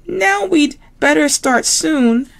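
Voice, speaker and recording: Woman doing a storybook diva pig: very high, swooping, gushing and coaxing, vain and pushy. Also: expressive, slow pace, gravelly, heavily acted. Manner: gushing, coaxing, breathless run-on pleading. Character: vain, sweet-talking female pig, dramatic and pushy. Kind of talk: storybook read-aloud.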